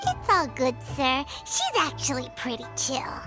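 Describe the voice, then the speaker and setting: An actress voicing a tiny, perky, naive girl sidekick in a video game, with a squeaky childlike voice and a casual, eager manner. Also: wildly swooping pitch, measured pace, over music, exaggerated voice. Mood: breezy. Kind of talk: cartoonish video game voice acting.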